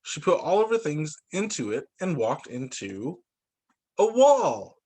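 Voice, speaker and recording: A man working as a teacher, reading children's storybooks aloud in an expressive way. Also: a little high, unhurried, slightly rough. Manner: expressive, acts out dialogue for young children.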